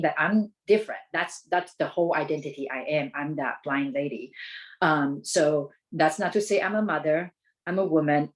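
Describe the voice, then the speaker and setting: A woman from Taiwan with a Taiwanese accent, speaking as an advocate in an informal presentation, haltingly, often rephrasing as she goes. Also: low voice, low-quality recording. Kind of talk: conversational presentation.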